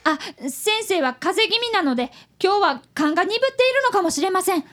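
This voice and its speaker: A woman's voice: extremely high pitch, lively intonation, brisk, fairly bright tone, no room sound, studio quality. A woman playing an earnest, polite eleven-year-old boy apprentice detective in a radio drama, narrating and talking dramatically. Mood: urgent pleading.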